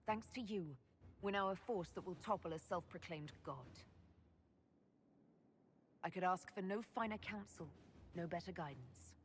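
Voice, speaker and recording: A resolute female commander voiced by an actress in a fantasy game, speaking a little low. She is formal, composed and assured. Faint ambience runs behind the voice. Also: normal speed, long pauses, a little hiss or hum, close to an everyday voice. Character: composed, resolute woman leader of fantasy army. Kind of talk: fantasy video game dialogue.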